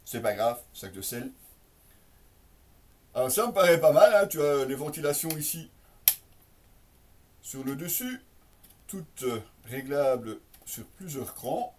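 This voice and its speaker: A man's voice: medium pitch, very animated, a little slow, long pauses, darkish tone, distant mic, a little hiss or hum. A man casually presenting an unboxing, explaining as he goes in an improvised, hesitant way and speaking directly to viewers. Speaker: product reviewer and presenter.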